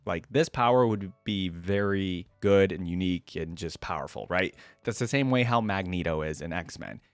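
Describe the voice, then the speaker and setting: A man's voice: deep voice, normal speed, smooth, dry recording. A man hosting a reaction video, giving casual, informal and chatty commentary. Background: faint music.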